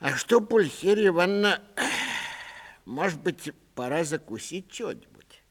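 An actor voicing a kindly, food-loving old landowner in a literary audiobook, gentle, fussy and hesitant. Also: male voice, fairly high pitch, expressive, unhurried, in a large hall, voice pushed up. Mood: mild, hungry. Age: older.

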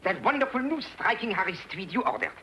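An actor playing a fussy, flattering French tailor with a French accent in an old Hollywood film comedy. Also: male voice, sits high, fairly quick, a crowd in the background, poor audio. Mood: effusive, flattering. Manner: obsequious, effusive. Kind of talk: 1940s Hollywood film acting.

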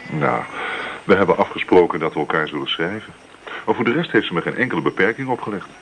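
A man's voice: sits low, lively intonation, quick pace, creaky, a bit bright, heavily acted. An actor playing an eager, lovelorn young man in a Dutch radio drama. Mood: earnest, lovelorn. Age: young adult. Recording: noisy radio play recording.

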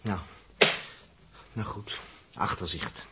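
A man's voice: low-pitched, lively intonation, slow pace, very noisy, exaggerated voice. A man with a Netherlands Dutch accent acting an irritable, stubborn spaceman in a 1960s science-fiction radio drama. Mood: tense, flustered. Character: male spaceman Dirk, irritable, stubborn, bossy.

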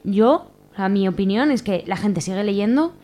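A woman's voice: medium pitch, expressive, moderate pace, mellow, soft background music. Spanish woman, radio guest, mid-range voice, colloquial and emphatic delivery. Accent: Spanish from Spain. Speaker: radio guest from a community library collective. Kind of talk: casual spoken radio interview.

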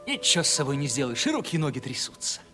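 An actor playing a timid, simple young merchant's son in love, folksy and fretful, in a Russian radio play. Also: male voice, mid-range voice, very animated, racing, sharp tone. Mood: nervous, plaintive. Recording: reverberant old radio play, music behind.

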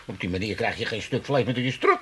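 A man's voice: fairly low pitch, fast talker, pushed and bright, breathy edge, full character voice. An older man with an Amsterdam accent playing a cantankerous, blunt old father in a comedy radio play. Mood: argumentative. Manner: blunt, grumbling, exclamatory.

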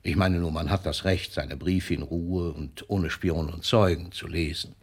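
An old poet in a radio drama. His voice is low and husky, with measured, lyrical phrasing. He comes across as wry and indulgent, like a worldly uncle. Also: fairly even intonation, full character voice. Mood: gently impatient. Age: older. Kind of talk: German radio play acting.

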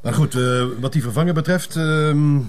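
A man's voice: a little high, moderate pace, close to an everyday voice. A man playing a harried, evasive police chief in a crime drama, with a Flemish Belgian accent and a hesitant, blustering, placating manner. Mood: defensive. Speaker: police commissioner. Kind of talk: naturalistic TV crime drama acting.

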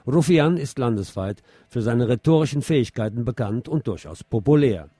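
A man's voice: medium pitch, animated, moderate pace, warm tone, breathy edge, no echo. A man reading scripted news as a radio presenter.

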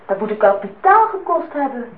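An actress playing a lively young woman in a radio play, direct and chatty yet polite. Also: fairly high pitch, fairly quick, slightly rough. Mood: curious, frank. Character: lively, frank young woman visiting an older acquaintance. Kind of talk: Dutch radio play acting. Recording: noisy, tinny old radio recording.

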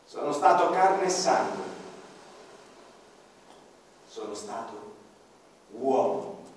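A man performing a radio-theatre monologue as a dead man telling his own story, solemn and slow, his voice swelling and then sinking low. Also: expressive, a little hiss or hum. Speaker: narrator. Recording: echoey hall, voice far from the mic. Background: violin music.

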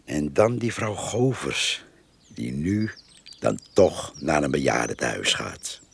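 Gravelly, very deep grumbling farmer. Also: male voice, sing-song, slow, heavily acted. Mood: grumbling, indignant. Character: grumbling male Dutch farmer, plain-spoken and suspicious. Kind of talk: radio play acting.

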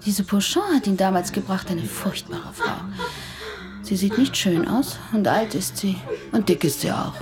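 A woman's voice: mid-range voice, animated, normal speed, natural voice. Pointed, teasing woman played by an actress, music behind. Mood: gossipy. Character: sharp-tongued, possessive female companion of a doctor. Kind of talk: German radio play acting.

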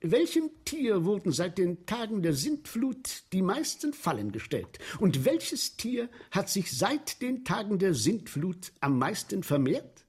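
An actor in a radio play playing an obsequious, fervid sewer inspector who tells grisly tales with husky emphasis and deferential asides. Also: male voice, sits high, big pitch swings, a little slow, few pauses, a little mellow, heavily acted. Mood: lecturing, eager. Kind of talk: 1970s German radio play acting.

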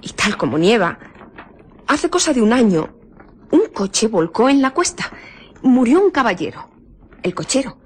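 An actress from a radio drama playing a nosy, fretful, chatty village innkeeper who rambles, with an accent from Spain. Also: female voice, a little high, very animated, a little slow, pauses often, breathy edge, heavily acted. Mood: chatty, dramatic. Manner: rambling, muttering asides to herself.

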